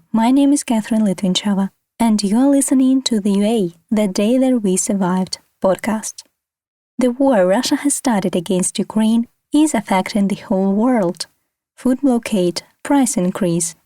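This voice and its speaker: A woman's voice: medium pitch, warm tone, no echo, studio quality. A woman hosting a podcast, reading scripted documentary narration. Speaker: podcast host.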